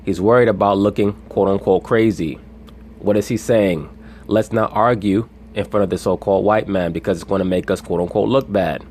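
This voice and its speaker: A man from the United States giving a monologue commentary on video clips, deliberate and lecturing, with a preacher-like cadence. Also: sits low, darkish tone, a little hiss or hum.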